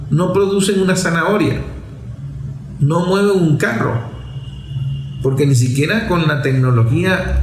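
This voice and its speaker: Man, mellow voice in echoey room. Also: fairly high pitch, slight background noise. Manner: emphatic, rhetorical repetition, stretching key words for stress. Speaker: political commentator. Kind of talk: opinionated political commentary, unscripted. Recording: roomy, echoing voice recording.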